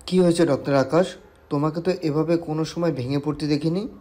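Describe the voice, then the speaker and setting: Actor as calm mellow-voiced senior doctor. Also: male voice, fairly high pitch, a little fast, soft background music, lightly acted. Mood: calm concern. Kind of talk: Bengali audio story acting.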